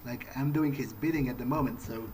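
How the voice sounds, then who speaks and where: A man's voice: mid-range voice, darkish tone, a little hiss or hum, lightly acted, poor audio. A man playing a newly turned vampire, thinking aloud in a hesitant, pragmatic, wary way as he role-plays a video game. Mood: pensive. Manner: hesitant, halting musing. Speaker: gamer role-playing his character. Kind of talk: in-character gameplay thinking aloud.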